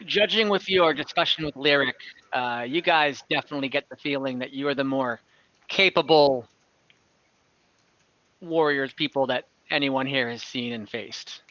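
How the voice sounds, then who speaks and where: A man's voice: medium pitch, normal speed, sharp tone, dry recording, highs cut off. A man narrating an improvised tabletop game casually as game master, thinking aloud and correcting himself, on a close mic over an online call.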